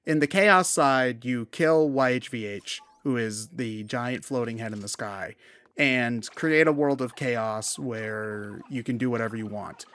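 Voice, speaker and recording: Opinionated man, animated and ranting. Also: medium pitch, measured pace, dry recording. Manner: animated, ranting, swears casually. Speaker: co-host of a video game commentary show. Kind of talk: casual opinionated commentary chat.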